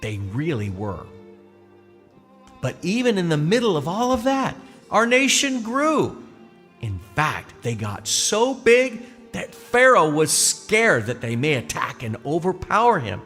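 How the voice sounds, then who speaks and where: A man playing a patient, gentle but firm Israelite father in a children's puppet show, explaining things deliberately like a bedtime storyteller. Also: on the high side, wildly swooping pitch, slightly rough, exaggerated voice. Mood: storytelling. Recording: long hall reverb, music underneath.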